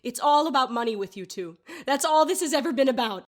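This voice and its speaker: Actress as a melodramatic, high-voiced scornful mourner. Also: female voice, animated, fast talker. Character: melodramatic, indignant grieving woman, scornful of others. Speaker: actor in an audio drama. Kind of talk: audio drama acting.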